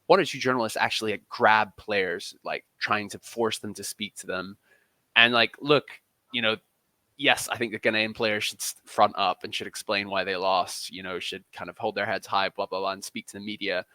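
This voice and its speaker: A man with a fairly low but very bright, forceful voice talks as a guest on a podcast. He speaks conversationally and emphatically, often doubling back to restart a phrase. The sound is dry and close. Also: fairly even intonation, normal speed, no room sound. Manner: emphatic, repeats and restarts phrases mid-thought. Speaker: interview guest, football journalist.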